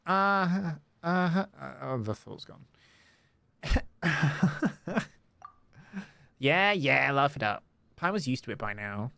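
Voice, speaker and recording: Man streaming, slow-paced and very expressive. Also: fairly high pitch, creaky, dry recording. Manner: excitable, reacts with gasps and drawn-out exclamations. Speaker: game livestreamer. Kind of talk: casual livestream commentary, reading game dialogue aloud. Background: game music.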